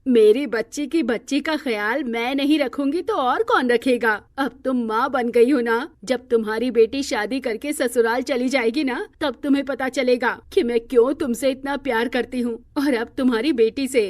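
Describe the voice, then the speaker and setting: A woman's voice: high voice, steady tone, moderate pace, few pauses, dry recording, natural voice. A woman playing an affectionate, eager old grandmother in a cartoon moral story, speaking in an emphatic, coaxing way. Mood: affectionate, teasing.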